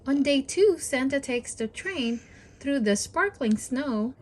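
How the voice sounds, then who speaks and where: A woman reading aloud to young children as a storybook host, in an expressive, deliberate and encouraging way. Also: high voice, a little hiss or hum.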